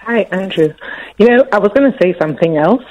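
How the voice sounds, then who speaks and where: A woman's voice: medium pitch, expressive, a little fast. A middle-aged woman with a Nigerian accent calling a radio phone-in, emotional and upset, with many restarts and trailing sentences. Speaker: caller. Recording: muffled phone line.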